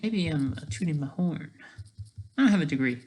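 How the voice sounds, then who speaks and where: Casting: amateur vlogger, medium pitch, rambling unscripted commentary, restarts sentences and checks in with "right?". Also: expressive, brisk, darkish tone. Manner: tags statements with "right?"; rambling, trails off mid-sentence. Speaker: amateur video creator.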